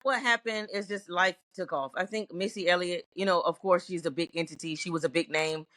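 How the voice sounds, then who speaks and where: A woman's voice: on the low side, steady tone, fairly quick, a bit bright, dry recording, studio quality. A middle-aged woman singer from Virginia, answering interview questions in a reflective, earnest way with frequent restarts.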